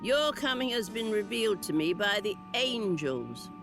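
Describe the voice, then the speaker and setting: A woman's voice: mid-range voice, big pitch swings, moderate pace. A woman voicing a ghostly woman guarding a mill, speaking solemnly in old-fashioned commands. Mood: stern. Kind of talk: video game cutscene voice acting. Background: game music.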